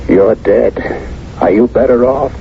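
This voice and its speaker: A man's voice: medium pitch, unhurried, vocal fry. An actor playing an anguished, fearful ordinary man who survived a war, agitated and hesitant, in 1970s radio drama style. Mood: desperate, defensive. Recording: muffled, noisy old radio broadcast.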